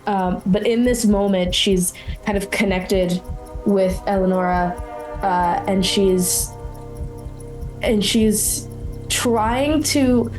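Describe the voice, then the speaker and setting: A woman with a medium-pitched voice improvises her character's actions in a tabletop game. She speaks haltingly, thinking aloud, with faint music behind her. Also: a little mellow, poor audio. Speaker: tabletop roleplaying player.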